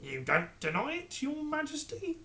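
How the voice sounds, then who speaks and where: A narrator voicing a scheming male royal adviser who speaks slowly and soothingly. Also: sits high, huge pitch swings, pushed and bright, a little hiss or hum, voice pushed up, full character voice. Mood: accusing. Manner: slow, measured, falsely soothing. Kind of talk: storybook character reading.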